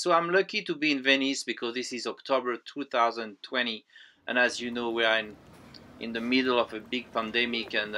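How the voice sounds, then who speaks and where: Bright, clear French-accented man. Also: medium pitch. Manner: halting, many fillers and restarts. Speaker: toy collector vlogger. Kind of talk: voice-over narration of a museum visit. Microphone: voice-over, close mic.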